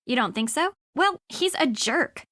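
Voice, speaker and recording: A woman's voice: sits high, expressive, a little slow, bright, forceful tone, dry recording, studio quality, heavily acted. A woman playing a brash, sarcastic, impatient young sleuth in a video game, with a blunt, snarky delivery. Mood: dismissive.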